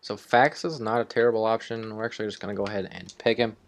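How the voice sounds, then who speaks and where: Low-voiced man rambling through game commentary. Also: steady tone, fast talker. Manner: rambling, thinking aloud, offhand swearing. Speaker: host of a video game series. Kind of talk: casual improvised gameplay commentary.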